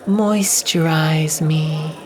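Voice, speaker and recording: A man's voice: a little high, steady tone, drawn-out words, darkish tone, voice pushed up. A man voicing a posh, condescending female villain of stretched skin, with an overly sweet, witchy tone, in an audio story. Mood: croaking demand. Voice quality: excessively sweet, witchy tones.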